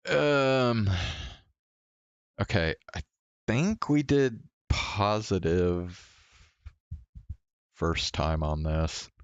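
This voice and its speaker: A man with a low voice gives casual commentary on a game. He speaks slowly and a little hesitantly, as if thinking aloud to himself. The sound is dry, with very little room in it. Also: smooth, no room sound, dull audio. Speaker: streamer.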